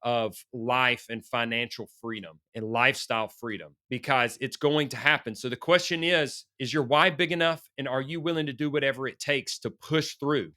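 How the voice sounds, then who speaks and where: A middle-aged man, hoarse from a sinus infection, hosting a motivational business podcast in an earnest coaching manner. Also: medium pitch, sharp tone, dry recording, clean studio sound. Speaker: podcast host.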